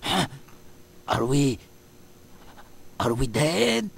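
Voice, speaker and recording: An actor playing a fervent, excitable, eccentric zealot with a raspy voice in an animated game. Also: male voice, fairly high pitch, very slow, full character voice. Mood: puzzled.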